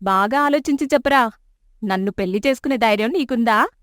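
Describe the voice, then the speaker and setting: A woman voicing a feisty, sharp-tongued young woman. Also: on the high side, a little fast, no echo, natural voice. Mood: scolding. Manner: snappy and scolding. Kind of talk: animated storybook dub. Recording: dry close studio mic.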